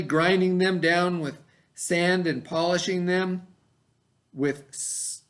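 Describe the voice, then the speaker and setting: A man with a fairly high voice reads aloud in a lesson for children. His intonation is very lively, rising and dropping widely. He stresses key terms, repeating them and adding simple explanations as a teacher would. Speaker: teacher reading aloud to students. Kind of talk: expressive educational read-aloud with explanatory asides.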